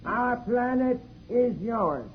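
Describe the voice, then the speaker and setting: A man's voice: very high-pitched, animated, slow, phone-quality sound, full character voice. A man playing a ceremonious Venusian delegate in an old radio serial, giving formal, pompous oratory. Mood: solemn, ceremonious. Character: ceremonious male Venusian delegate making a solemn welcome speech. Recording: thin old radio transcription, faint noise.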